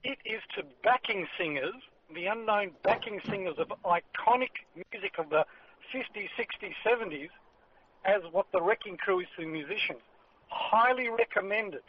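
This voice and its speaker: A man with an Australian accent calling a radio show by phone, speaking emphatically and deliberately. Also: high voice, expressive. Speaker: radio talkback caller. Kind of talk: phone-in recommendation. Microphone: telephone. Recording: dull, noisy phone line.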